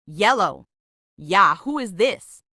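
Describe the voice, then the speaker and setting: A woman chats in a phone conversation. Her voice is very bright and forceful, at an average female pitch. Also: animated, slow pace, soft background music.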